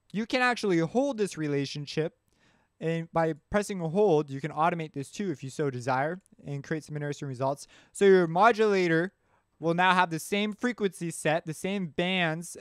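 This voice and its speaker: A man hosting a casual music production tutorial, explaining step by step as he demonstrates the software. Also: a little high, clear, resonant tone, no room sound, studio quality.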